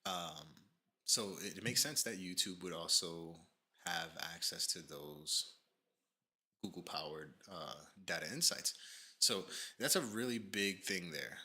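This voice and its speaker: A low-voiced man talks through his stream in a loose, rambling way, full of fillers and restarts. His tone is bright and forceful, with a slight nasal edge from allergies. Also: unhurried. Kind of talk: casual livestream commentary, reading from a page.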